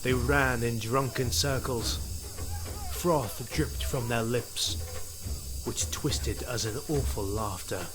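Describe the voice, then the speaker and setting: A man narrating an audio drama in a scripted, measured and dramatic storytelling style. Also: medium pitch. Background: music.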